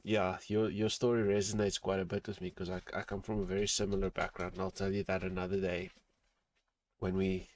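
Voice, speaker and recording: A man whose first language is Afrikaans hosts a relaxed interview over a call. His voice sits very low, and he is agreeable and quick to back up his guest. He keeps the talk easygoing and conversational. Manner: friendly, agreeable, quick to laugh and affirm. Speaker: interview host.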